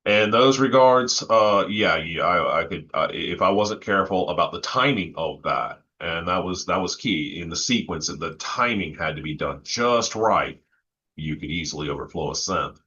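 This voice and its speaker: A man talking casually and technically as a panelist, halting as he thinks aloud. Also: sits low, lively intonation, pushed and bright, dull audio. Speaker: panelist in music technology discussion.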